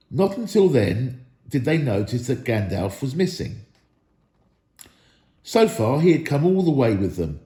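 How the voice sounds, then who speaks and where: A man reading a storybook aloud as an expressive narrator. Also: mid-range voice, roomy sound. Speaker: storybook reader.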